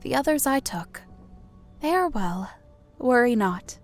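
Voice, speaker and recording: A woman's voice: on the high side, expressive, measured pace, soft background music. A woman voicing a regal death goddess in an audiobook, lofty, sweet and composed. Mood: matter-of-fact. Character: regal female death god, lofty, serenely amused. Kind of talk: dramatised audiobook character dialogue.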